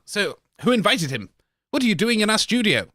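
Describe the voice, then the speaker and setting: A man's voice: sits high, sing-song, fairly quick, pushed and bright, dry recording, studio quality. A man co-hosting a comic radio show, blunt and theatrical.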